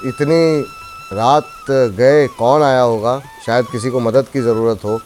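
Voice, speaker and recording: A man's voice: mid-range voice, fairly even intonation, takes it slowly, darkish tone, soft background music, lightly acted. A man playing a humble, generous old village eatery owner in a cartoon story, tender and hospitable. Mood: concerned. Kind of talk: Hindi cartoon moral story dialogue.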